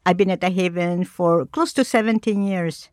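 Measured woman from Mexico, dry close sound. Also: fairly low pitch, no echo. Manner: measured, with frequent pauses and fillers. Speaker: cultural educator. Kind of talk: radio interview.

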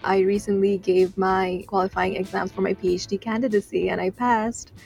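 A woman's voice: medium pitch, little pitch movement, gravelly, a little mellow, faint music behind, rough recording. A young woman from Nepal whose first language is Nepali, a PhD student explaining her research in a radio interview, with frequent fillers. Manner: explains science slowly with fillers and hedges.

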